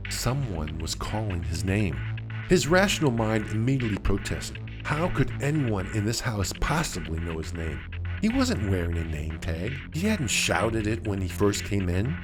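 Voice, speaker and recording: A man narrating a scripted mystery story in a dramatic, suspenseful way with deliberate phrasing. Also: fairly low pitch, big pitch swings, music in the background.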